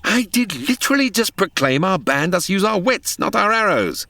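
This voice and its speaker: A man's voice: high voice, sing-song, moderate pace, vocal fry, bright, forceful tone, no room sound, heavily acted. A man playing a gallant, taunting outlaw archer in a comedic audio drama, with flowery archaic speech. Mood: commanding.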